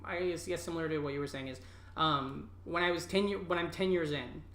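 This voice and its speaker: A man's voice: fairly high pitch, fairly quick, vocal fry, fairly bright tone. A man in his thirties from Southwest Iowa, a comedian guest talking in a rambling, upbeat way with casual swearing. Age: 30s. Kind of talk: casual podcast chat. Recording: roomy echo.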